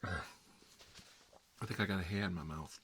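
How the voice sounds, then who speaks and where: A man's voice: sits low, fairly even intonation, takes it slowly, a bit bright, a little hiss or hum. A man playing a put-upon, self-deprecating middle-aged uncle in a rambling, folksy ASMR comedy role-play. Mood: chatty, rambling.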